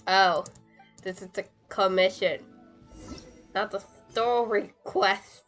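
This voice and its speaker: Bright-voiced woman streaming a game. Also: medium pitch, fairly even intonation, takes it slowly, faint music behind. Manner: playful, rambling self-talk. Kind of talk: casual live gameplay commentary.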